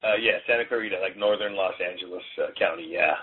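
A man's voice: low-pitched, quick pace, breathy edge. A man in his forties, a collegiate strength coach, chatting loosely as a guest over a phone line, with plenty of fillers. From born in Hong Kong, raised in the US. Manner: rambling, relaxed, many fillers. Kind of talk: casual interview chat. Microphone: phone call.

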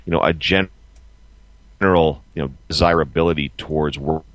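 A man in his forties co-hosting a podcast, chatting casually and emphatically in a halting, repetitive way. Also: very deep voice, fairly even intonation, fairly bright tone, no room sound, dull audio. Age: 40s. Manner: halting, emphatic, repeats himself. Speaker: podcast co-host. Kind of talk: casual opinionated chat.

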